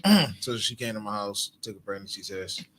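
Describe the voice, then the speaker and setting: A man's voice: fairly low pitch, animated, fast, a crowd in the background. A man in his twenties telling a personal story in casual podcast chat, with plenty of swearing. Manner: profane storytelling. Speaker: podcast guest.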